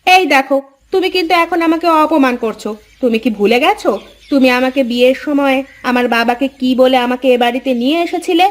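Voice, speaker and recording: A woman acting a vain young village wife with a high voice. She is sulky and sharp-tongued, quick to take offence, in a dramatic cartoon style. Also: close to an everyday voice. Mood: offended, indignant. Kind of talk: Bengali cartoon story acting.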